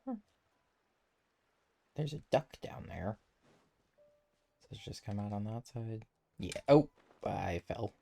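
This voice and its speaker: Lively game streamer thinking out loud. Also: mid-range voice, slow pace, gravelly, long pauses. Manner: musing aloud to self. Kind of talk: casual gameplay commentary. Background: game music.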